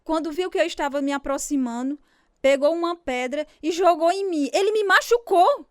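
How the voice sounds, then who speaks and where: A very high-voiced woman schoolteacher from the countryside in a radio drama. She speaks formally and emphatically, in a dry studio sound. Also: moderate pace, no room sound. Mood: indignant.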